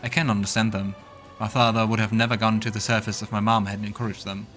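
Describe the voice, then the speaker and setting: A man's voice: lowish voice, level tone, fast talker, a bit bright, soft background music, natural voice. A man voicing a resolute, serious male companion fighter with earnest delivery in a game. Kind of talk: voiced game dialogue.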